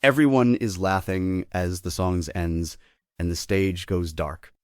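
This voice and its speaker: A man serves as narrator, reading stage directions in a scripted style. His voice is very low and recorded dry, without room sound. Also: measured pace, clear, resonant tone, no echo.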